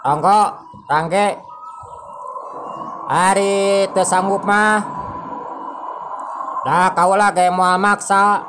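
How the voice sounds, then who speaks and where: Clear background noise surrounds a man playing a resigned man in a folk tale. He reasons slowly and deliberately, patient with the one he asks for help. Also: high voice. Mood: patient, insistent. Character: Bagas, resigned, reasoning human man seeking demon's help. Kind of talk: Sundanese folk-tale storytelling.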